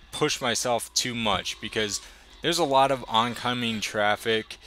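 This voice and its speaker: A young man talking casually over his riding footage as a motovlogger, relaxed and enthusiastic, a little rambling. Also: fairly low pitch, a little hiss or hum.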